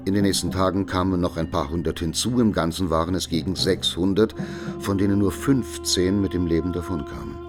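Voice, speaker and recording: An actor playing a grave chronicler, narrating history in a measured, formal way for a documentary radio play. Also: male voice, low-pitched, fairly even intonation, lightly acted. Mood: sober, grave. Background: music.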